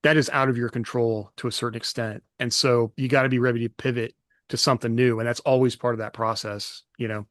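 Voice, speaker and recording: A man's voice: medium pitch, fairly even intonation, quick pace, fairly bright tone, dry recording. Thoughtful rambling man in a dry recording. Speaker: podcast co-host. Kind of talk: casual chat.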